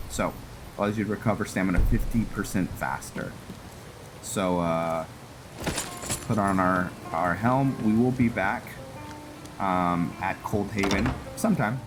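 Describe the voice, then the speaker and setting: Male gameplay host: low register, unhurried, casual, thinks aloud, clear music bed underneath. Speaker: gaming video host. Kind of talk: casual gameplay commentary with roleplay.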